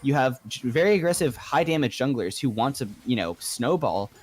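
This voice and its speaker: A man doing play-by-play commentary on a live video game match, with a delivery that gets excitable when calling action. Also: medium pitch, fairly quick.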